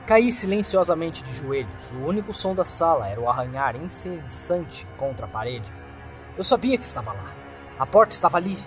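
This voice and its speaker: A man's voice: fairly high pitch, sing-song, normal speed, faint music behind. A man with a Brazilian accent reading a scripted first-person horror story as its narrator.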